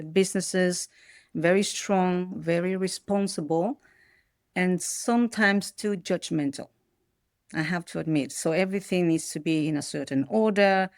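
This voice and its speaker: Low-voiced reflective woman, dry sound. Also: steady tone, a little slow, a little mellow, no room sound. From Peru, raised in the Netherlands. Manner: thoughtful, restarts often mid-sentence. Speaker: podcast guest. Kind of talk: reflective personal interview.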